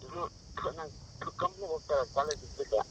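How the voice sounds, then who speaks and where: A man's voice: a little high, quick pace, noisy background. A man in his twenties with a Karnataka accent answering a casual phone call in a puzzled, defensive manner. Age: 20s. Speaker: prank call target.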